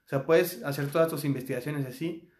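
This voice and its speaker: A man's voice: a little high, brisk, a little mellow, roomy sound. A Mexican man co-hosting a film podcast, talking casually and rambling with lots of fillers. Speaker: podcast co-host. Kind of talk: casual chat.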